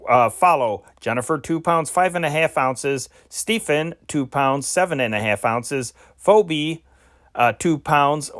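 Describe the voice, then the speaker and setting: A man's voice: fairly high pitch, fairly bright tone, dry recording, studio quality. A man reading scripted news reports as an amateur, carefully spelling out names and figures with occasional stumbles. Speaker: amateur reader of historic newspaper reports.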